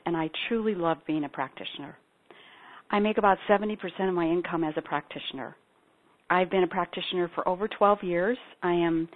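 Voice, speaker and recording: A middle-aged woman, a spiritual practitioner and singer-songwriter, telling her personal story in an earnest, heartfelt way. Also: fairly low pitch. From Ethiopia. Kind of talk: personal testimony talk. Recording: tinny phone-like sound.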